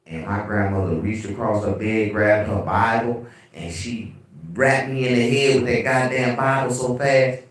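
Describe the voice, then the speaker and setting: Man, deep bass voice, casual livestream chat, profane, heavy room echo, far from the mic. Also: measured pace. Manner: animated, profane storytelling. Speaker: livestream host. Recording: very echoey room, away from mic.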